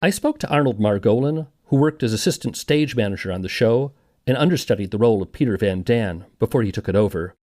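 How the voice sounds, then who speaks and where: A man's voice: medium pitch, expressive, quick pace, darkish tone. A man narrating a scripted documentary podcast as its host and interviewer.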